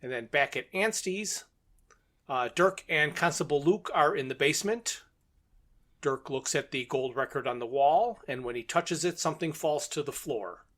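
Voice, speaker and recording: A man with a fairly high, bright, cutting voice co-hosts a casual podcast. He recaps stories in short, conversational sentences with a jokey streak. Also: normal speed. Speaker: podcast co-host. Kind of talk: casual discussion, recapping a story.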